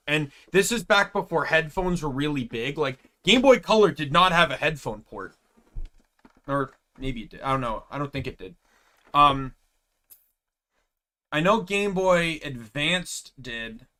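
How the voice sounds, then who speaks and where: A young man streaming a game, chatting casually in rambling asides with a loud voice. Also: on the high side. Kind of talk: casual gameplay chat. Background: game music.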